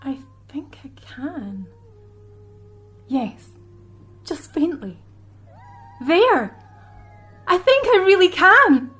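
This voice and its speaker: A woman playing a gentle, hesitant, modest musician in an audio drama. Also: extremely high pitch, wildly swooping pitch, extremely slow, long pauses, a little hiss or hum, exaggerated voice. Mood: hushed wonder.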